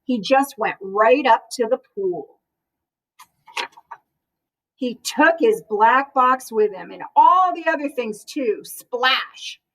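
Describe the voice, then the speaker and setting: A woman reading a children's picture book aloud in an expressive, animated way, as if to young listeners. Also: fairly high pitch, slow pace, poor audio.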